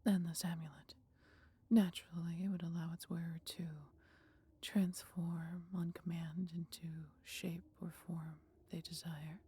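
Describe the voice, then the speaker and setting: A woman voicing a gentle, wise dragon in an intimate audio roleplay, with a breathy, half-whispered voice and measured, formal phrasing. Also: lowish voice, flat delivery. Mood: astonished, eager. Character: gentle, wise female dragon, fond of her human. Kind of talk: slow, intimate roleplay acting addressed to listener.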